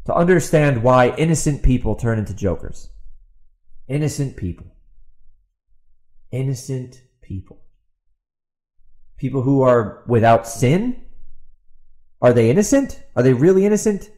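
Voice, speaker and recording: A man's voice: medium pitch, animated, measured pace, pauses often, a little mellow. A man hosting a podcast, ranting off the cuff in an exasperated, sarcastic way with emphatic repetition and rhetorical questions. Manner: rhetorical questions put to an absent opponent. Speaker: podcast host and author. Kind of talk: ranting opinion monologue, off the cuff.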